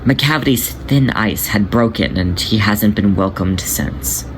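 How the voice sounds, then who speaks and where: A man voicing a cocky male cat who is protective and teasing, in a gentle, affectionate manner. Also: on the low side, measured pace, pitched below the performer's own voice, close to an everyday voice. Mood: grave. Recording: noisy home recording.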